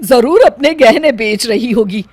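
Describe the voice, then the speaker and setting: Woman playing a nagging older mother-in-law, highish voice. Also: lively intonation, unhurried, background noise. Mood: suspicious. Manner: scolding, theatrical. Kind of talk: Hindi cartoon story acting.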